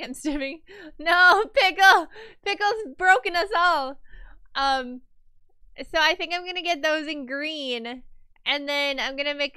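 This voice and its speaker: A woman's voice: sits high, pushed and bright, dry recording. A woman livestreamer chatting casually with her viewers, a little stuffy from a cold, rambling with fillers and restarts.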